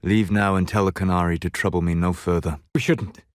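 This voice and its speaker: A man playing a solemn, learned elven mage in a video game, proud and regretful, speaking in a measured, formal way. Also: low-pitched, fairly even intonation, clean tone, no room sound, studio quality, natural voice. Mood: grave, resolute. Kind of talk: scripted game dialogue.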